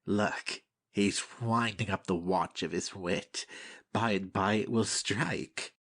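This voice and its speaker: Man as a slow, mocking Shakespearean nobleman. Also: lowish voice, steady tone, fairly bright tone, exaggerated voice. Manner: dry, sneering quips. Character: mocking, cynical nobleman, idle wit. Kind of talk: dramatic Shakespeare audiobook reading.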